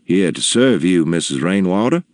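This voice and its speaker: A man's voice: low-pitched, sing-song, normal speed, dry recording, studio quality. A man voicing a polite, confident male store clerk in a story, courteous and composed. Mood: composed, obliging. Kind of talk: storybook character voice in narration.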